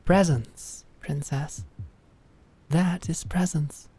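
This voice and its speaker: A woman acting in an audio drama as a calm, commanding knight who mentors with measured, deliberate instruction and encouragement. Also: deep voice, slightly rough, full character voice. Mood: calm, guiding. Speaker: voice actor.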